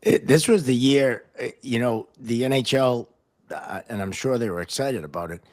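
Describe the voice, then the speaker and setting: A man hosting a podcast, chatting casually about hockey in a loose, halting way as he thinks aloud. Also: medium pitch, a little mellow. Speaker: podcast host. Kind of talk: casual sports chat.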